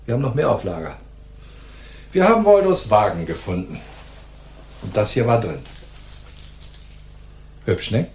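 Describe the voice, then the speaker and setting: A hot-tempered bully of a police detective in a noir radio play. He taunts and sneers in a fairly low voice, aggressive and contemptuous. The room around him echoes. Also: wildly swooping pitch, measured pace, long pauses, heavily acted. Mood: pressing, gruff. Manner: mocking, aggressive, swinging from soft taunts to barking. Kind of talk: noir radio drama dialogue.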